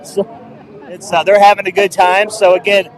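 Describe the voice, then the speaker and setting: A man's voice: high voice, flat delivery, moderate pace, some chatter behind, rough recording. A man hosting a live street broadcast outdoors on a handheld mic, rambling and joking. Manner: rambling, upbeat, jokey. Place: outdoors at a loud street festival. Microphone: handheld microphone.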